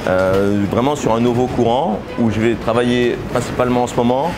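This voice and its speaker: A man in his forties, an artist, explaining his work on camera at an art fair, pausing often with fillers. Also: medium pitch, background noise. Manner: hesitant, many fillers and restarts. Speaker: exhibiting artist. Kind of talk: interview answers on camera. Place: art fair stand indoors.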